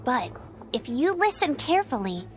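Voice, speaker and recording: Plucky high-pitched young knight girl. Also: sing-song, normal speed, faint music behind. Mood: determined. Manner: earnest, spirited, emphatic. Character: plucky, determined young female knight scout and glider. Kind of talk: anime-style video game voice acting.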